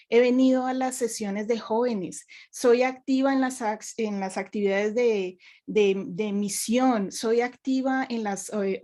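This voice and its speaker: Colombian-raised youth minister lady, kinda high voice, earnest storytelling on a panel. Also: darkish tone. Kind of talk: panel discussion, personal stories.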